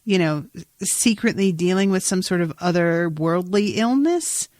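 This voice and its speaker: A woman's voice: lowish voice, animated, measured pace, darkish tone, dry recording, studio quality. A woman co-hosting a casual recap podcast, slangy and sarcastic, with an incredulous, exasperated way of talking. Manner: informal, slangy, swears casually. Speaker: podcast co-host. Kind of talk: casual TV episode recap chat.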